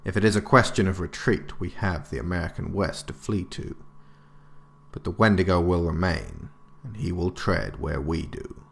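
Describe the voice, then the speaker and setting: An actor playing a stern, resolute government director in an audio drama, delivering a formal address with grave, deliberate authority. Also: male voice, low-pitched, expressive, vocal fry. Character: stern, resolute male government director writing a survival handbook. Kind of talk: scripted audio drama, formal oratorical narration.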